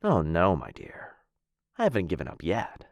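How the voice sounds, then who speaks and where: Man acting a low, intimate lovestruck assassin. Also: wildly swooping pitch, normal speed, creaky, dry recording, heavily acted. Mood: tender, conflicted. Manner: intimate, teasing, formal old-fashioned phrasing. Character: smooth, menacing yet lovestruck male assassin. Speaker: voice actor. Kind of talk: boyfriend ASMR roleplay.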